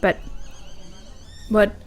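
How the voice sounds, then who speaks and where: A woman playing a vulnerable young woman confessing love in an intimate ASMR roleplay, halting and hesitant, often repeating her words. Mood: wistful, confused.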